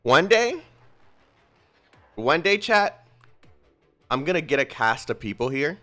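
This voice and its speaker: A young male streamer reacts to a story game and rambles to his chat. His voice is excitable and animated, swinging up and down in pitch. Faint music runs behind him. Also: slow. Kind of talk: casual livestream commentary.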